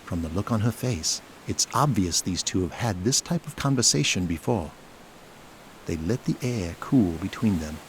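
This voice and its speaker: A man's voice: deep voice, expressive, moderate pace, slight background noise, poor audio. A man reading scripted dramatic narration for an audio drama.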